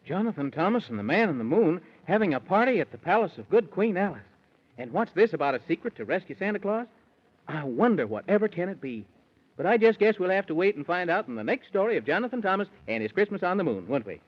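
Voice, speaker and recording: High-pitched, animated male radio announcer. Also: fast. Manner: addresses young listeners, rhetorical questions. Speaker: announcer and narrator. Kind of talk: 1930s children's radio scripted narration. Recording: muffled 1930s radio recording.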